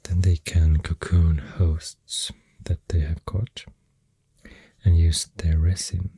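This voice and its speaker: A soft-spoken ASMR creator with a Swedish accent, rambling in a breathy, husky near-whisper with hesitant pauses, close to the microphone. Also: low-pitched, level tone, no echo. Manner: slow, hesitant, pausing between phrases.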